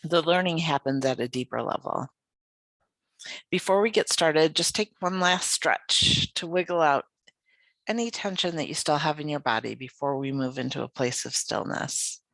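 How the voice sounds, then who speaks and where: A meditation guide leading a yoga nidra practice in a soothing, unhurried, gently inviting way with pauses. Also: mid-range voice, no room sound, rough recording.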